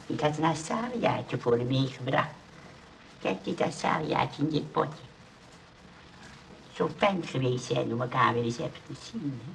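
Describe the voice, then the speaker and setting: Gravelly, courteous old grandfather. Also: mid-range voice, expressive, measured pace, long pauses, fairly bright tone, a little hiss or hum, rough recording. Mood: wistful, pleading. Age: older. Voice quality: creaky old voice. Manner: hesitant, repetitive, folksy and courteous. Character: very old grandfather, retired burglar, polite and wistful. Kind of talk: radio play acting.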